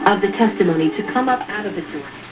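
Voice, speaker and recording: A woman's voice: mid-range voice, expressive, rapid-fire. A woman preaching a sermon, declaiming scripture over a radio broadcast. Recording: muffled shortwave broadcast.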